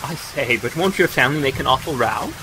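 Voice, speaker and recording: A man playing an earnest, gallant, courteous young suitor in a period romantic audio drama, gentle and a little hesitant. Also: mid-range voice, animated, fairly quick, background noise, natural voice. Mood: cautious, coaxing. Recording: clear rain and ambience behind. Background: rain.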